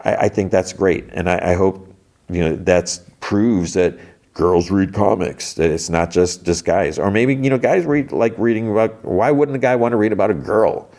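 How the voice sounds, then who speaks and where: Deep-voiced guy, stuffed up, rambling podcast chat, keeps restarting his sentences. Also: normal speed, creaky, darkish tone. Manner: rambling, self-interrupting, many restarts. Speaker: podcast host. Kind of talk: casual solo comics chat.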